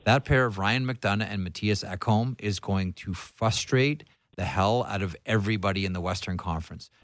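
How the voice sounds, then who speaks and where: A man's voice: fairly low pitch, normal speed, no echo. A man hosting a sports talk show, giving conversational analysis emphatically and repeating phrases for stress.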